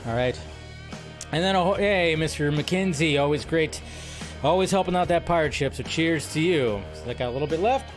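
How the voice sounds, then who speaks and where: Chatty man hosting a live stream. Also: medium pitch. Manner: loose, chatty, sprinkles in mild swearing. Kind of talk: casual live-stream chat reading viewer comments. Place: at home by a window. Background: faint intro music.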